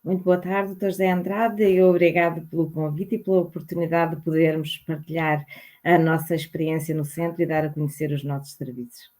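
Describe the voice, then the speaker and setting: Methodical, low-voiced woman organizer. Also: level tone, brisk, mellow. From northern Portugal. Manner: formal, methodical, with fillers. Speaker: community organizer. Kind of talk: formal interview answers.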